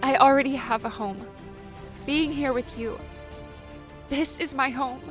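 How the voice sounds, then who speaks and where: A man voicing a devoted, tearful young woman who speaks softly and from the heart. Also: extremely high pitch, fairly even intonation, measured pace, over music, voice pushed up. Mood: tender.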